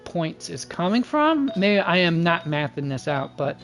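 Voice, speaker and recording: A man's voice: a little high, big pitch swings, a little slow, fairly bright tone. A man doing casual livestream game commentary, thinking aloud and muttering to himself in a folksy, slangy way. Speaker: game streamer.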